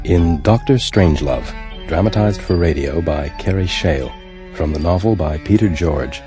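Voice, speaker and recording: A man's voice: sits low, normal speed. A man reading a scripted credits announcement as a radio announcer. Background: music.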